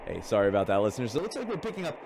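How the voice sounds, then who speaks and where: An actor playing a chatty, gossipy radio show host on a spaceship in an audio drama. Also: male voice, fairly low pitch, wildly swooping pitch, racing, gravelly, background noise, heavily acted. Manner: chatty, gossipy announcer delivery. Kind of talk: radio drama acting as a broadcaster.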